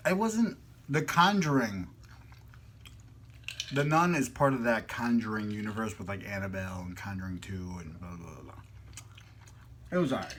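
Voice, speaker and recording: A man's voice: on the low side, expressive, normal speed, pauses often, fairly bright tone. Playful man chatting, with noisy background. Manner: playful, jokey, slangy. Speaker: mukbang vlog host. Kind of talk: casual chat while eating on camera.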